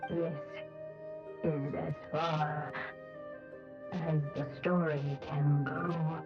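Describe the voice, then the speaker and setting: A woman's voice: deep voice, flat delivery, drawn-out words, dull audio, over music, exaggerated voice. A woman voicing a grave, cryptic storybook guardian in a video game.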